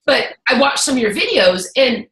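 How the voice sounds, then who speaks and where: A woman's voice: sits high, moderate pace, reverberant, rough recording. A woman hosting a casual chat, talking candidly and acting out little quoted thoughts as she goes. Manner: candid, animated, talks through asides and quoted thoughts. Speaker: host.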